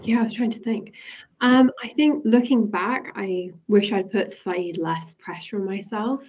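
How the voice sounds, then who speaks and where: A woman's voice: medium pitch, normal speed, darkish tone. A woman, a guest on a careers webinar, giving thoughtful advice and often hedging with "I think". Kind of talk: reflective advice.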